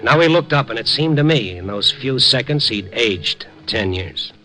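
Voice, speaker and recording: A man's voice: medium pitch, animated, normal speed, bright, forceful tone, soft background music. An actor playing a wry, skeptical younger newspaperman in a 1950s radio drama, informal and slangy. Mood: grave, narrating. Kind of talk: 1950s radio drama acting with first-person narration.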